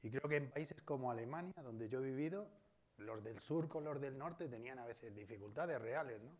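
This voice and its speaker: A man's voice: mid-range voice, moderate pace. A man with a Spain Spanish accent giving an academic colloquium talk in a university auditorium, discursive and often adding tag questions. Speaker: guest speaker.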